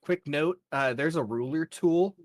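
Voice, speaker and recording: A man acting as game master, narrating a tabletop fantasy game off the cuff in a measured, halting way. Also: fairly high pitch, no echo.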